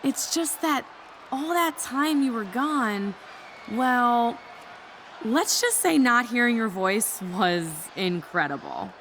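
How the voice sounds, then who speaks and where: A smug, power-hungry woman running a wrestling show in a video game. She taunts theatrically, taking her time with very lively pitch. There is faint noise behind her. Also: slow, full character voice. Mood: gloating. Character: smug, gloating, power-hungry female wrestling general manager.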